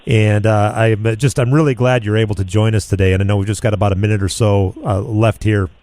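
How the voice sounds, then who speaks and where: A man's voice: fairly low pitch, fairly even intonation, a little fast, a little mellow, no room sound. Friendly American man hosting talk radio. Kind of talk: conversational radio interview.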